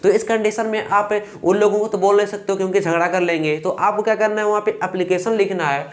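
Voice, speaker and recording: A young man with an eastern Uttar Pradesh Hindi accent teaching a lesson, reading English lines slowly and carefully. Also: high-pitched, steady tone. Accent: Hindi speaker from eastern Uttar Pradesh. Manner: slow and careful when reading English lines, fillers "ठीक" between steps. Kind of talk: explaining a lesson.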